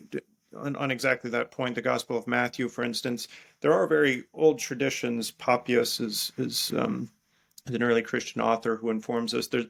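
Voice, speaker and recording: A man's voice with a gravelly fry underneath, at a middling pitch. He answers like an academic guest in an interview, feeling his way through each explanation and doubling back on words as he rephrases them. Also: a bit bright. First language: English. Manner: halting, thinking aloud, repeating words as he rephrases. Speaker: guest biblical scholar and priest.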